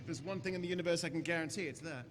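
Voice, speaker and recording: A man's voice: a little high, racing, background noise, close to an everyday voice. A man playing an eccentric, playful alien Doctor in a jaunty, theatrical way in a staged sci-fi radio play. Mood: persuasive, jaunty.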